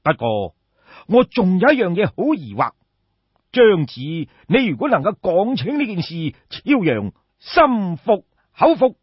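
A male narrator puts on a proud court official, courteous on the surface and full of doubt. He questions formally in a husky voice with swooping pitch, recorded in an echoey, slightly dull room. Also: very animated, measured pace, full character voice. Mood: grudging, puzzled.

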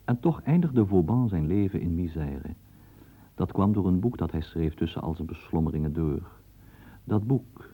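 A man narrating a historical radio program in a measured, ironic storytelling manner. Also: low-pitched, husky. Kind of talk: scripted documentary narration. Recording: tinny phone-like old broadcast.

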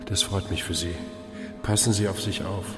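An actor playing a tired, wry older hospital volunteer with a dry, sardonic manner in a German radio play. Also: male voice, low-pitched, steady tone, normal speed, close to an everyday voice. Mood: wry, weary. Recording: echoey reverberant hall, music behind.

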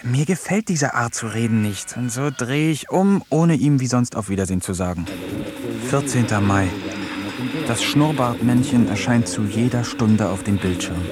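An actor narrating a radio play as a poet, reading diary-like entries in a wry, introspective way. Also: male voice, mid-range voice, animated, moderate pace, close to an everyday voice. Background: music.

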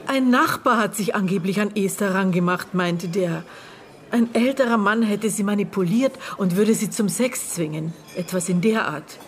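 An actress playing a middle-aged, bitter and guarded aunt in a naturalistic radio drama, speaking in terse, halting phrases as she searches her memory. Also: mid-range voice, close to an everyday voice. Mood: shaken, disbelieving. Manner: terse, halting, broken phrases with thoughtful pauses.

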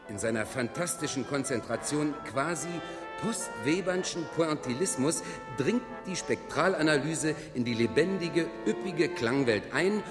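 Man playing a solemn concert announcer in long reverb. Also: close to an everyday voice. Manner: formal, high-flown. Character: solemn, florid male classical concert radio announcer. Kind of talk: scripted radio play concert announcement. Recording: long hall reverb, music behind. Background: music.

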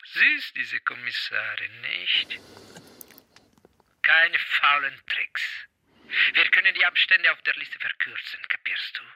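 A man's voice: on the high side, lively intonation, slow pace, pushed and bright, dry recording, exaggerated voice, low-quality recording. An actor playing a menacing male mafia thug in a radio drama, taunting and vulgar. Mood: mocking menace.

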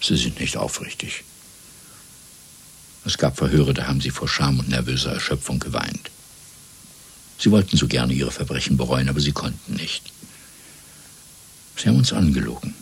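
An actor playing a cold, controlled interrogator in a 1970s German radio drama, speaking in a measured, deliberate, menacing way. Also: male voice, very deep voice, creaky, husky, exaggerated voice. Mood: quiet menace. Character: cold, controlled male Party interrogator and torturer.

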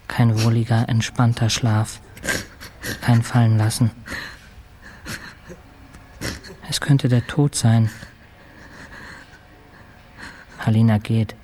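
A man acting a weary, embittered intensive-care patient, narrating a radio drama in terse, deliberate fragments. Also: medium pitch, warm tone, a little hiss or hum, natural voice. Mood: brooding. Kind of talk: scripted first-person radio drama narration.

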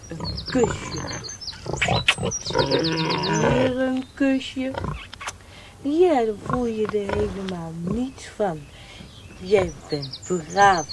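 A naive, tender farm girl in a radio play. Her high, childlike voice coos very slowly, with clear animal noise around her. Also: animated. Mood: cooing. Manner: cooing, singsong, naive. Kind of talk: Dutch radio drama acting. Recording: farmyard animal sounds behind.

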